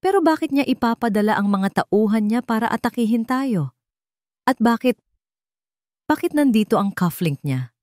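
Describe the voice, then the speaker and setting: A woman's voice: fairly high pitch, lively intonation, moderate pace, darkish tone, no echo, clean studio sound, voice pushed up, lightly acted. A woman voicing a determined young female bodyguard in an audio drama, wary and urgent in her questioning. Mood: confused.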